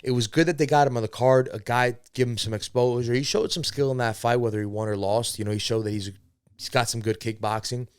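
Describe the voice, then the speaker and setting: A man's voice: medium pitch, steady tone, fast, smooth, no room sound. Rambling young man hosting an MMA podcast. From born in Spain, raised in America. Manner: rambling, profane, admits to segues. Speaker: podcast host. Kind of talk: casual solo podcast commentary.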